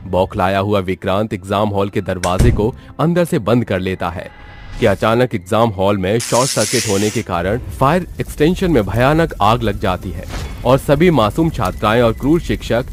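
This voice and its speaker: A man's voice: on the low side, animated, moderate pace, faint music behind. A man narrating a scripted horror story in a dramatic storytelling style.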